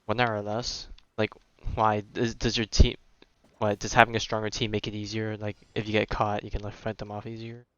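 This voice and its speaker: A man's voice: on the low side, completely flat delivery, brisk, fairly bright tone, clear, resonant tone, no echo, muffled recording. A young man streaming a game and chatting casually, slangy and muttering as he thinks aloud. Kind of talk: casual live gameplay chat.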